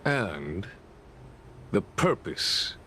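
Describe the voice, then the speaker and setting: An actor playing a shrewd, weary general who speaks formally and with calm authority in a video game. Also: male voice, deep voice, slight background noise, exaggerated voice. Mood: wry, knowing. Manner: formal, unhurried, commanding.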